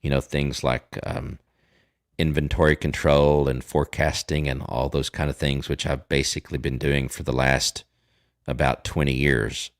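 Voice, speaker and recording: A man's voice: rumbling low, level tone, moderate pace, dry recording, clean studio sound. A man in his forties with a Southern Texas accent, chatting casually as a livestream host and rambling with lots of fillers and restarts. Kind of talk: casual chat.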